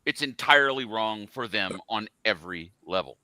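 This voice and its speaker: A man co-hosts a casual music podcast and gives blunt, sardonic takes. His pitch swings widely as he talks. His voice is bright and the sound is dry. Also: expressive, moderate pace, dry recording. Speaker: podcast co-host.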